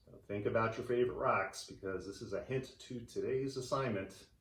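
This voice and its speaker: A man reading a story aloud for children in an animated, theatrical way. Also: on the low side, brisk, roomy sound. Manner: animated, theatrical delivery for young listeners. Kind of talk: expressive read-aloud storytelling.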